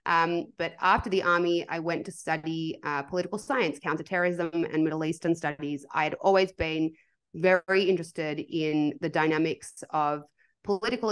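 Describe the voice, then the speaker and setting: Australian woman, podcast host, low voice, articulate. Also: clean tone. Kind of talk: casual interview talk.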